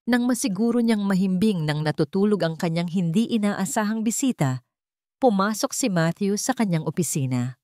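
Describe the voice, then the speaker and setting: A woman's voice: mid-range voice, dry recording, studio quality. A woman with a Filipino accent narrating a scripted dramatic story.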